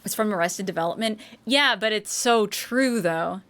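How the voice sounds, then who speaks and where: A woman's voice: medium pitch, moderate pace, a bit bright. Rambling American woman streamer. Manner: rambling, with frequent restarts, swearing and laughter. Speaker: streamer and voice actor. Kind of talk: casual live-stream chat.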